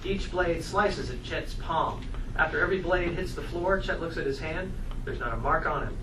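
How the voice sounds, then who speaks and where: A man's voice: a little high, normal speed. A man reading stage directions aloud as narrator at a live screenplay table read. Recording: echoey room, distant mic, clear noise.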